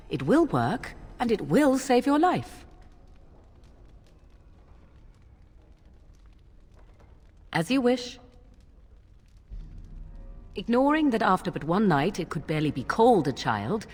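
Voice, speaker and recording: An actress playing a proud, guarded witch in a fantasy video game, speaking in formal, archaic phrasing with cool, deliberate persuasion. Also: on the low side, a little mellow, long reverb tail, full character voice. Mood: firm, insistent, then guarded, earnest.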